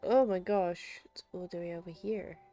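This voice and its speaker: A woman's voice: lowish voice, steady tone, moderate pace, mellow, dull audio. A woman streaming a video game, giving casual live commentary as she plays. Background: faint game music.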